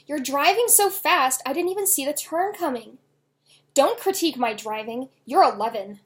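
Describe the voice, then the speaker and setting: A woman voicing an irritable, quick-tempered father in a children's novel, scolding loudly and emphatically. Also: sits high, animated, voice pushed up. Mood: irritated. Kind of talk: children's novel read aloud.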